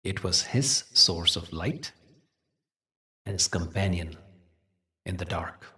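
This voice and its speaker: Low deep male meditation guide, gentle n soothing, lots of pauses, bit far from the mic. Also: animated. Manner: gentle, measured, soothing delivery with deliberate pauses. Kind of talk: guided meditation with spiritual storytelling.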